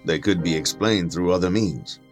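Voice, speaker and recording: An actor playing a haughty, learned skeptic in an audio drama, formal, condescending and given to lecturing. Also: male voice, sits low, moderate pace, soft background music, natural voice. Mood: earnest, argumentative. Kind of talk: dramatic audio fiction acting.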